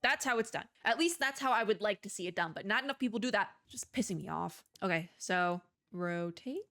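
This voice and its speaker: A woman's voice: medium pitch, lively intonation, fairly quick, fairly bright tone. A woman streamer giving casual, opinionated commentary as she plays a game, rambling in exasperated asides. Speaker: video game streamer, host. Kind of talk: casual gaming commentary while playing a demo.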